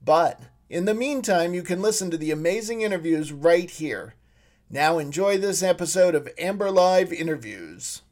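A man's voice: sits high, animated, moderate pace, a little mellow. A man who co-hosts and produces, reading a scripted introduction to an interview show. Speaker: producer and co-host.

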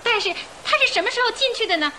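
A woman's voice: very high-pitched, lively intonation, fast, sharp tone, slight background noise, full character voice. An actress playing a gossipy, suspicious woman passenger in a Chinese radio drama, chatty with emphatic interjections. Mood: puzzled.

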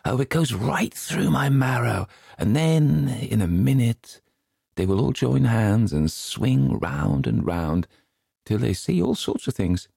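A man doing an excitable mischievous little boy. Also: medium pitch, takes it slowly, gravelly, husky, no echo, studio quality, voice pushed up, exaggerated voice. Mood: eager.

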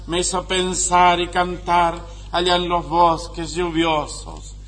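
A man reciting poetic prose in a solemn, measured monotone. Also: high voice. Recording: faint noise and hum.

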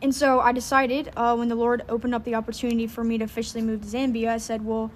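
A woman talks as a podcast guest. Her slightly high voice stays fairly flat in pitch, and she often stops on "um". Faint noise sits behind her. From Louisiana, United States. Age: 20s. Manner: earnest, fills pauses with "um". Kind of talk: casual interview chat.

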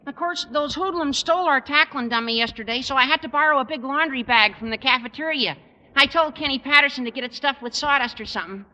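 A polite, eager high school boy in a 1950s radio comedy. The voice is squeaky, high and sharp, like a keen adolescent. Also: fast, full character voice, rough recording.

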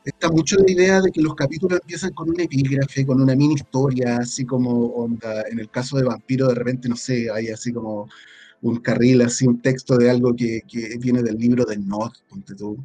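A Chilean man talking casually as an interview guest, halting and thinking aloud as he goes. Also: mid-range voice, steady tone, dark tone, no echo. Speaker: guest, audio drama creator. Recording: faint music behind. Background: faint music.

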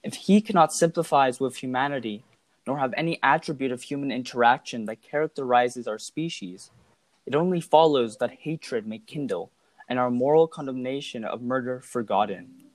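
A man giving scripted analytical commentary in a formal, measured manner. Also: medium pitch, no room sound.

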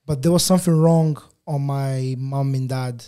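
Guest voice: young man, first language Portuguese, mellow timbre, loose and rambling storytelling. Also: medium pitch, moderate pace, smooth. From Angola, raised in Portugal. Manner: relaxed, rambling, many fillers and restarts. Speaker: guest photographer. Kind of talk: casual interview chat.

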